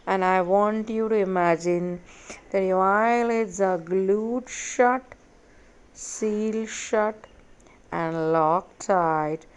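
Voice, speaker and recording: A woman leading a guided meditation in a measured, hypnotic way, giving repetitive instructions with long pauses. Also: mid-range voice, smooth.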